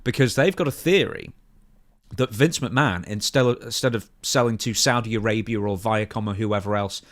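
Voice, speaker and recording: A man with a fairly low voice co-hosts a podcast. He switches between scripted news reading and informal discussion, asking questions as he goes. The sound is dry, with hardly any room to it. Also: moderate pace, dry recording. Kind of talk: news reading mixed with casual chat.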